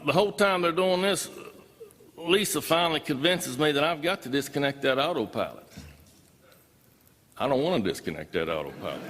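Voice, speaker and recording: A man's voice: a little high, a bit bright, slight background noise. A man tells his own story as a live talk, in a folksy, emphatic way. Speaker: speaker recounting his own experience. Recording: reverberant hall.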